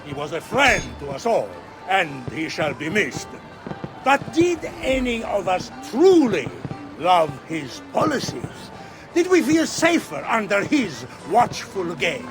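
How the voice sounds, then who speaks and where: An actor playing a pompous Venetian ruler with an Italian accent, giving a grandiose theatrical speech. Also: male voice, sits high, sing-song, takes it slowly, exaggerated voice. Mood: solemn. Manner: grandiose, declamatory. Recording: loud music behind the voice.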